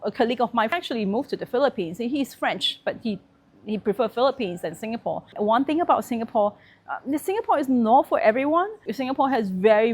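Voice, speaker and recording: A woman's voice: mid-range voice, breathy edge. A woman in her forties, born in Malaysia with Chinese as her first language, talking frankly and casually to camera as a property and wealth vlogger. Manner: frank and conversational, thinking aloud.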